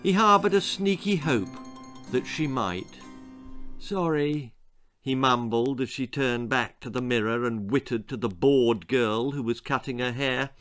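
A man in his sixties reading a children's story aloud with dramatic, expressive narration, savouring the words. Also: mid-range voice, moderate pace, soft background music. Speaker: author reading own stories. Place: small book-lined room indoors.

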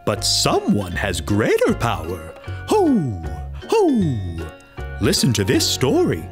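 An actor voicing a friendly owl who tells stories to children, with drawn-out hoots and a singsong cartoon delivery. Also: medium pitch, huge pitch swings, faint music behind. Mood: friendly, teaching.

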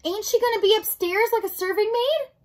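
A woman voicing a simple, stubborn, wistful young serving girl in a storybook, with uneducated rustic speech, loud and plaintive. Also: very high-pitched, brisk, pitched above the performer's own voice.

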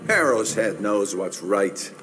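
An actor playing a stern older institute director in a game, pompous and oratorical. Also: male voice, on the low side, animated, slow, music in the background. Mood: stern, persuasive. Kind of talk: video game cutscene acting.

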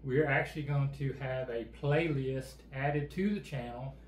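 A man's voice: mid-range voice, unhurried. A middle-aged man, co-hosting a casual channel chat with an easygoing, plainspoken manner. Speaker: co-host. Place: indoor room with game table and backdrop. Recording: echoey room, faint background noise.

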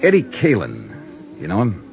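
Muffled vintage radio sound with faint music. a hard-boiled American investigator, tough and wry. He talks in clipped wisecracks with a lot of rise and fall in pitch. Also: wildly swooping pitch, a little slow, vocal fry, exaggerated voice. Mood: probing, casual. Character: wry, tough, freelance insurance investigator, adult man. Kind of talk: 1950s radio detective acting and narration. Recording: muffled old radio recording.

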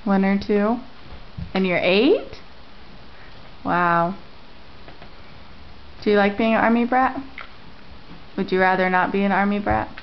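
A woman interviewing family members at home, repeating their answers back and asking gentle questions. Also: mid-range voice, takes it slowly, long pauses, slight background noise. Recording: lo-fi home camera audio.

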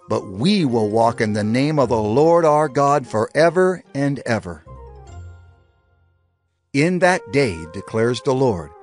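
Animated male Bible narrator over music. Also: medium pitch. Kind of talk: dramatized scripture reading, solemn oratory.

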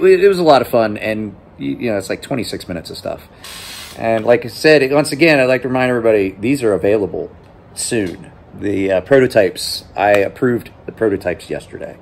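A man's voice: on the low side, slight background noise. Tired man vlogging from a workshop. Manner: tired, rambling, chatty. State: exhausted. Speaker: knife channel host. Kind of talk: casual vlog to camera. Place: small cluttered office, then large workshop. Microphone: phone held at arm's length.

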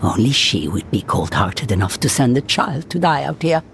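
An actor playing a wry, embittered old swamp hermit in a fantasy game, ominous and given to breathy drops into whispers. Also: male voice, mid-range voice, very animated, a little hiss or hum. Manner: slow, ominous, dropping into whispers. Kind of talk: dramatic fantasy game acting. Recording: loud game music behind the voice.

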